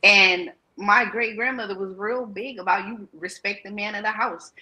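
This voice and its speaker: A woman's voice: medium pitch, steady tone, moderate pace, pushed and bright, muffled recording. A woman from Virginia chatting reflectively on a live-stream panel, rambling with lots of "like" and "whatever.". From Virginia, USA. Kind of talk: casual live-stream discussion.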